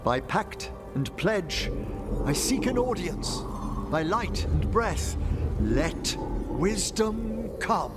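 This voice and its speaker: An actor playing a refined, earnest necromancer scholar in a fantasy game, eloquent and formal with a tender manner. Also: male voice, high-pitched, sing-song, extremely slow, over loud music, heavily acted. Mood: solemn, pleading.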